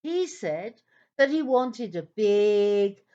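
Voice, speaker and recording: A woman playing a patient storyteller in a children's audio play, narrating in a deliberate, expressive, theatrical way. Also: mid-range voice, dull audio. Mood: exasperated, teasing. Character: patient female storyteller, gently exasperated with her characters.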